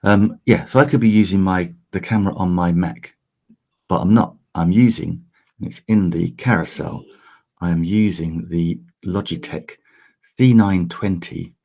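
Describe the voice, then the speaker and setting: A man from the UK hosting a casual how-to live stream, his voice croaky this early, chatty with lots of fillers and restarts. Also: low voice, a little slow, darkish tone. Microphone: desk USB microphone.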